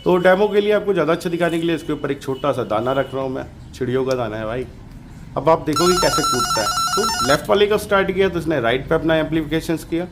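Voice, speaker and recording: A man's voice: on the high side, wildly swooping pitch, fast talker, very noisy. A man hosting a hands-on electronics tutorial in a casual, informal, colloquial way, often repeating himself for emphasis. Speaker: DIY electronics channel host.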